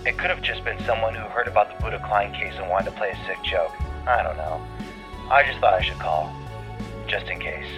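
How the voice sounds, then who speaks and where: A man's voice: fairly low pitch, fairly quick, natural voice. A man playing a casual, hesitant young concertgoer calling in on an audio drama. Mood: casual, uncertain. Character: laid-back young male concertgoer, unsure witness. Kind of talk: audio drama caller monologue. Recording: muffled call under music.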